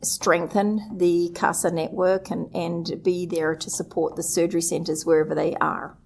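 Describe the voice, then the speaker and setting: A woman's voice: on the low side, flat delivery, moderate pace, vocal fry, darkish tone, slight background noise. A middle-aged woman from New Zealand with a New Zealand accent, earnestly listing her experience as a guest in a live conference interview. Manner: earnest, lists points one after another. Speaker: guest, association executive director.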